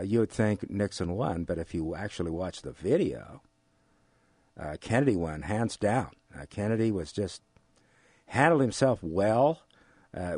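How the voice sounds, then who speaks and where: An older man with an American accent explains things in an interview, haltingly, repeating phrases for emphasis. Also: on the low side, darkish tone, slightly rough, no echo. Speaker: economics instructor giving an interview.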